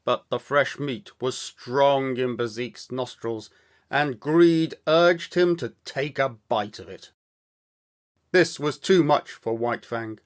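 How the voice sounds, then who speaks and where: A man reading a story aloud as scripted narration, with measured, deliberate, dramatic phrasing. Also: medium pitch. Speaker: audiobook storyteller, actor.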